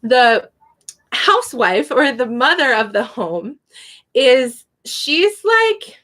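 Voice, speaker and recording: A woman's voice: on the high side, fairly bright tone. A young woman teaching a casual spoken lesson, chatty and prone to tangents, laughing often. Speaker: Spanish teacher.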